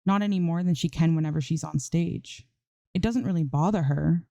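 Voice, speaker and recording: Woman reading fan fiction like an audiobook, pretty low mellow voice, close dry sound. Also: moderate pace, gravelly, dry recording. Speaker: amateur audiobook narrator. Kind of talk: scripted fan fiction narration.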